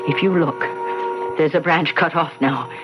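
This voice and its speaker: An actor playing a sorrowful, devoted family guardian, telling a tragedy in a halting, dramatic old-time radio manner. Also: male voice, fairly high pitch, steady tone, lightly acted. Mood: mournful. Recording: muffled old radio, loud music underneath.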